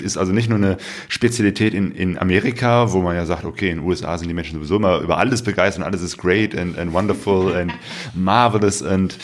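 A man hosting a podcast interview, putting long questions in a halting, conversational way. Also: sits low. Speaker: podcast host.

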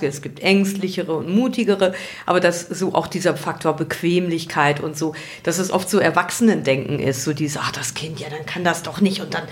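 A woman with a slightly low voice hosts a podcast. She chats informally, offering her thoughts and putting questions to guests. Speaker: podcast host. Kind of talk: casual conversational interviewing.

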